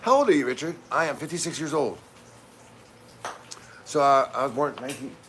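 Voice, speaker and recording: A man's voice: mid-range voice, a little fast, fairly bright tone. A man in his fifties talks loosely on a home livestream and keeps restarting his sentences. He is in a kitchen, with faint household noise behind him. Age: 50s. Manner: rambling, with many restarts and asides. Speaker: livestream co-host. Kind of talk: casual livestream chat.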